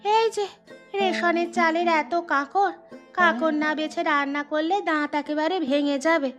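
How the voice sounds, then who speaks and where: A woman's voice: very high-pitched, steady tone, measured pace, a bit bright, soft background music. A woman voicing a poor, plain-spoken young village housewife in a cartoon, chatty and plaintive. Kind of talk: Bengali moral-story cartoon dubbing.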